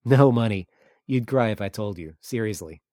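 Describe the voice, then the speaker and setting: A man reads a visiting journalist in an audiobook. He sounds understated, easygoing and a little evasive, in a mellow, matter-of-fact voice recorded dry. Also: lowish voice, expressive, moderate pace, no room sound, natural voice. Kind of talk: audiobook character dialogue.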